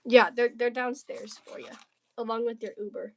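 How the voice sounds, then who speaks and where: A woman's voice: on the high side, steady tone, moderate pace, vocal fry, fairly bright tone. A woman from the southern United States livestreaming casually, chatting and bantering with viewers in a playful, slangy, jokey way. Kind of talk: casual livestream chat while crafting.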